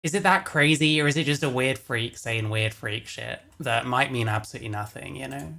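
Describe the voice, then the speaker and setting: A man's voice: mid-range voice, a little fast, creaky, bright, forceful tone. An amused man giving casual, mocking livestream commentary and swearing freely.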